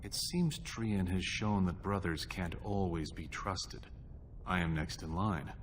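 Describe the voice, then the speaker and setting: An actor playing a scheming younger dwarf prince in a fantasy game, speaking in a measured, insinuating way. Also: male voice, low-pitched, a little hiss or hum. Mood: conspiratorial. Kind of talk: fantasy game cutscene acting. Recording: clear background music.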